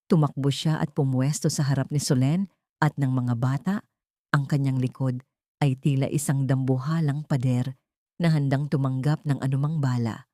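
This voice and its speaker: A woman's voice: a bass voice, mellow, no room sound, clean studio sound. A woman narrating a scripted dramatic audiobook story.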